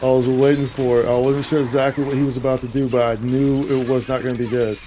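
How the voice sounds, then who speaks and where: A man's voice: mid-range voice, level tone, quick pace, mellow, a little hiss or hum. A man giving casual, slangy live commentary as he streams video games. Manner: informal, slangy bro and dude talk. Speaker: game streamer.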